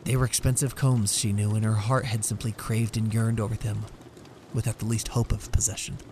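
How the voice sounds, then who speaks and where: A man giving a measured, theatrical reading of a story as scripted narration. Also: fairly low pitch, flat delivery, noisy background. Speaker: story reader.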